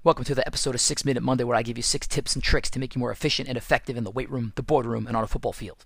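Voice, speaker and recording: A man hosting a casual podcast, hoarse and raspy from losing his voice, chatty and self-deprecating. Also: medium pitch, fairly even intonation, very fast, a bit bright, dry recording, studio quality. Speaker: podcast host and football coach. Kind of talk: casual solo podcast with ad read.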